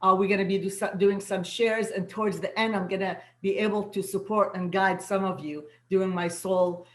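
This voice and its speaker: Host: native Arabic-speaking woman, soul guide and coach, gentle and affirming; echoey video-call sound. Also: medium pitch, steady tone, moderate pace. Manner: gentle, affirming, repeats words for emphasis. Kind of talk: inspirational welcome to an online gathering.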